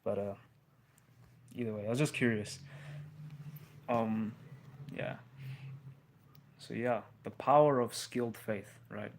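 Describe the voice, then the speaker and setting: A man's voice: fairly low pitch, takes it slowly, pauses often, a little hiss or hum. A young man hosting a casual live stream, answering viewer questions in loose slang. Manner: laid-back slang, calls listeners bro.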